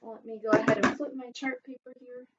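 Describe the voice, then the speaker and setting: A woman teaching young children in a storytime lesson. Her voice sits fairly high, with expressive delivery and simple, instructive phrasing. The home recording sounds slightly muffled. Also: brisk, heavy background noise. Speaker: primary school teacher. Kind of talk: children's read-aloud and lesson.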